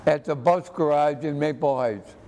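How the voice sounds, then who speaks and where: An elderly American man in his eighties, an interview guest speaking earnestly and haltingly, often repeating himself. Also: on the high side, warm tone. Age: 80s. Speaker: interview guest, army veteran. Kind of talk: personal reminiscence in an interview. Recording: echoey hall with background noise.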